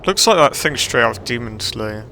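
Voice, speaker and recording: A man's voice: mid-range voice, lively intonation, normal speed, pushed and bright, a little hiss or hum. A man giving casual, offhand commentary as he streams a video game.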